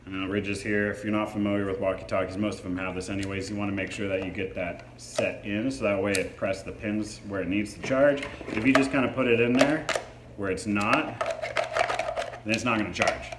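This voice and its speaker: A man's voice: lowish voice, fairly even intonation, brisk. A man reviewing a product in a casual, hands-on demo, explaining features informally. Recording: echoey room with faint background noise.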